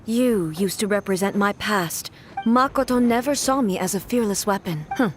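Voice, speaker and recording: An actress playing a dignified female warrior deity in a video game, with a measured, formal and earnest delivery. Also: mid-range voice, lightly acted. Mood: solemn. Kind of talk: dramatic video game acting. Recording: music behind the voice.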